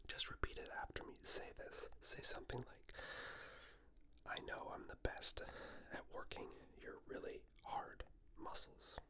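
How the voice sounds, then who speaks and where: A man playing an affectionate, playfully cocky boyfriend in a breathy, intimate whispered ASMR roleplay. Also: bright, forceful tone. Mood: teasing, affectionate. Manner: intimate, teasing, self-satisfied, slow.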